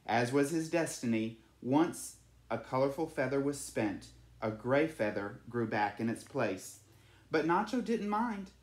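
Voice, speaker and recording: A storyteller reading a children's storybook aloud in an animated, dramatic way. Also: mid-range voice, measured pace, reverberant.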